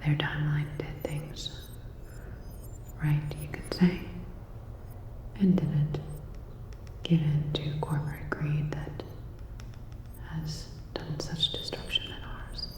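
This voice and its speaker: Woman doing a calm robot repair tech, asmr whisper, really slow n even, super gentle. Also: low-pitched. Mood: soft, reflective. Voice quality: soft breathy whisper. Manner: slow, halting, gently attentive. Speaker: ASMR roleplay performer. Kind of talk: whispered ASMR sci-fi roleplay. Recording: echoey, long reverb.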